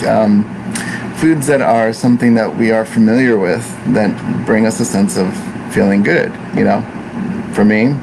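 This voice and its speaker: An American-born man whose first language is Italian, teaching Ayurveda in an unhurried, reflective way with many fillers and restarts. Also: on the low side. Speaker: Ayurveda practitioner. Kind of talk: informal teaching talk. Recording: noisy room with air conditioning hum.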